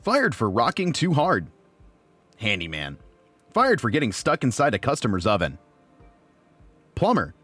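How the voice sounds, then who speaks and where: A man's voice: mid-range voice, wildly swooping pitch, a little slow, pauses often, fairly bright tone, slightly rough, no echo, soft background music, heavily acted. Guy doing an upbeat innocent robot telling his story, super bouncy intonation, close dry sound. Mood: matter-of-fact. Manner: earnest, guileless, upbeat. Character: cheerful naive friendly male robot. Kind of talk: audiobook narration in character.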